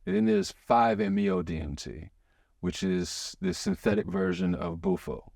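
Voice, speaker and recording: A man hosting a podcast in an excitable, rambling way, full of restarts and fillers. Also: low voice, normal speed, gravelly, no room sound, clean studio sound. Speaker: podcast host. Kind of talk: casual chat.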